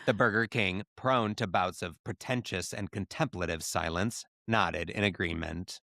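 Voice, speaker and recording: A man's voice: low-pitched, normal speed, creaky, pushed and bright, no echo, clean studio sound. A man co-hosting a podcast, reading comedic fan fiction aloud with theatrical delivery.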